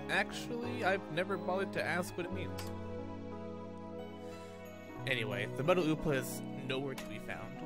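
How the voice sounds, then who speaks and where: A man streaming a game, reading visual novel narration and dialogue aloud in a dramatic way. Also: mid-range voice. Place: indoor room at a streaming setup. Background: game music.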